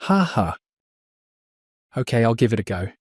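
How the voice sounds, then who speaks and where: A man's voice: mid-range voice, big pitch swings, normal speed, darkish tone, slightly rough, dry recording, clean studio sound. A man acting in an audio drama as a proud, stiffly formal brother. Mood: relenting.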